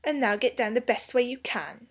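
A blunt, indignant schoolboy sneers his way through his lines, as a woman reading the story voices him. Her voice is lifted higher for the boy and has a breathy edge. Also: moderate pace. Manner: blunt, scornful.

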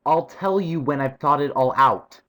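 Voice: mid-range voice, moderate pace, fairly bright tone, voice pushed up, lightly acted. A performer voicing a pensive, slightly grumpy British schoolboy, the eldest brother in a storybook. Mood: huffy. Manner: thoughtful, mildly huffy.

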